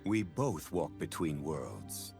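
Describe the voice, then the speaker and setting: A man's voice: very deep voice, sing-song, vocal fry, a little mellow, faint music behind, full character voice. A man voicing a grave, shadowy ninja in a video game, solemn and ominous. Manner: slow, solemn, ominous delivery. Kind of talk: video game character voice lines.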